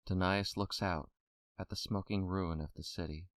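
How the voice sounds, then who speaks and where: A man's voice: low voice, fairly even intonation, moderate pace, no echo, clean studio sound. A man reading scripted narration for an audio drama. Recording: noisy background ambience.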